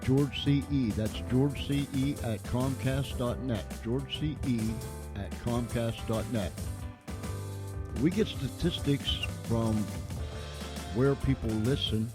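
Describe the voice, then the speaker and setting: A man's voice: medium pitch, slow pace, over music. A man hosting a radio show, giving an informal sign-off to listeners.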